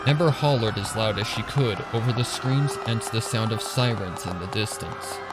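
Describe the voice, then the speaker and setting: A man's voice: on the low side, normal speed, rough recording. A man reading scripted fan fiction as a dramatic narrator. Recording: loud music and effects behind. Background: loud music.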